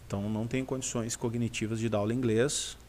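A man's voice: medium pitch, fairly even intonation, fast, darkish tone, a little hiss or hum. A man with a southern Brazilian accent answering questions in casual, colloquial talk full of question tags, as a podcast co-host. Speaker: podcast co-host and aesthetics teacher.

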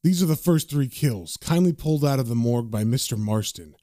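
A man's voice: animated, a little fast, mellow, no echo, studio quality, natural voice. A man voicing a cynical, hard-bitten male occultist in a comic-book horror story, bossy and slangy. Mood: dry, sardonic. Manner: low, sardonic, slangy, bossy.

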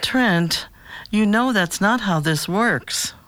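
A woman playing a calm, patient therapist who asks probing questions in a measured, formal way in an audio drama. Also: mid-range voice, no room sound. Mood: patient, pressing.

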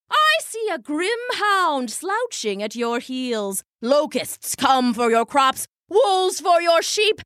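A woman voicing a pompous, theatrical female soothsayer who intones grand prophecies in a booming stage voice. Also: a bit bright, dry recording, clean studio sound, full character voice.

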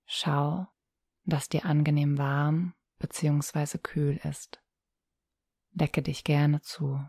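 A woman with a soft, breathy voice calmly guiding a relaxation meditation, soothing and instructional, with long pauses between phrases. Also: deep voice, dry recording, studio quality. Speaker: yoga nidra guide.

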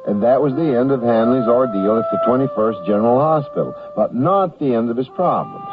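A man's voice: medium pitch, animated, a little fast, over music. A man hosting a radio theater show, narrating a scripted story link. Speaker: host. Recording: tinny vintage radio recording.

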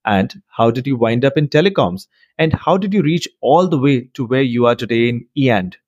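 A man's voice: medium pitch, lively intonation, moderate pace, darkish tone, no room sound, lo-fi sound. A man hosting a podcast, introducing and questioning his guest in an effusive, enthusiastic way. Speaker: podcast host. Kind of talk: interview hosting.

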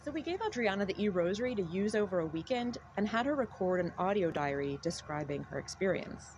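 A woman's voice: mid-range voice, lively intonation, a little fast, lo-fi sound, noisy background. A woman hosting a podcast, reading scripted narration in a conversational way. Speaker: podcast host.

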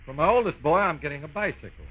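A man's voice: on the high side, lively intonation, fast talker, slightly rough. An actor playing a chatty family man in a 1950s radio comedy. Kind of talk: 1950s old time radio comedy acting. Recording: muffled old radio recording.

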